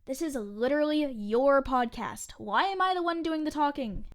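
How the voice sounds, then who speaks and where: Woman playing a high-voiced, snippy teenage waitress. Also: lively intonation, moderate pace. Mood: irritated. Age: young adult. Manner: snippy, sardonic, swearing. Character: sarcastic, grumbling eighteen-year-old waitress, reluctant podcast guest. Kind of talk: audio fiction podcast acting, conversational.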